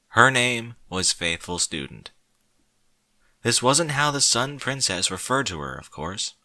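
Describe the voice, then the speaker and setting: A man reading a short story aloud in an expressive, theatrical narrator's style with wry, emphatic phrasing. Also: on the low side, dry recording.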